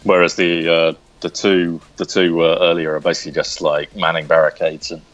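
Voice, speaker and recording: A man's voice: low voice, flat delivery, normal speed. A man chatting as an interview guest, a writer who speaks hesitantly and often searches for words, on a lo-fi recording. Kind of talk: casual interview chat.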